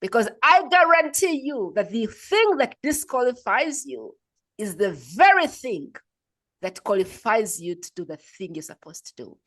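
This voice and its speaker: A woman from Uganda hosting a podcast interview, telling a personal story in an animated, emphatic way. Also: fairly high pitch, unhurried. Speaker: podcast host.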